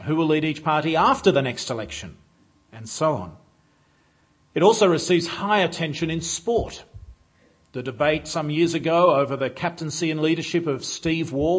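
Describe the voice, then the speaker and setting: A man preaching a sermon in a church, measured and unhurried, with frequent "uh" fillers. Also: fairly high pitch, slightly rough.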